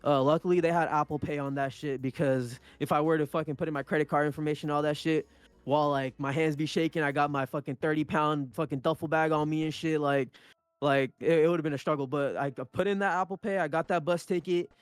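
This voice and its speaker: Young male podcast co-host, Punjabi first language, loose and sweary storyteller, slightly higher voice, dry close mic. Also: fairly even intonation, quick pace, clean tone, dry recording. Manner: rambling, many restarts and swearing. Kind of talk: casual storytelling chat.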